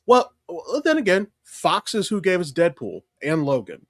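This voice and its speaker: A man with a slightly high voice and very lively, swinging intonation, speaking as a podcast co-host. He gives casual film opinions bluntly and emphatically, swearing freely. The sound is close and dry. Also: normal speed, dry recording.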